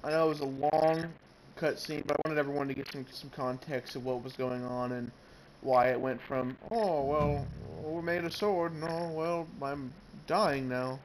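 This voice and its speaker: A man's voice: a little high, steady tone, moderate pace, a little hiss or hum, low-quality recording. A man giving casual commentary as he plays a video game, talking as the host. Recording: game music clearly behind voice. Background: video game music.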